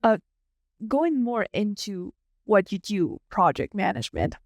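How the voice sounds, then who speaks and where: A woman's voice: mid-range voice, sing-song, unhurried, no echo, clean studio sound. A woman hosting a podcast, giving prepared introductions and interview questions. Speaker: podcast host.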